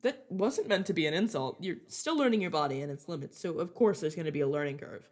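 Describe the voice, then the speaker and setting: A woman's voice: on the low side, animated, fast talker, darkish tone, a little hiss or hum, natural voice. A woman playing a casual, reassuring vampire guide in an audio roleplay, talking informally to the listener as a mentor to a newcomer. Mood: chatty, wry. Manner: chatty, informal, self-correcting, reassuring.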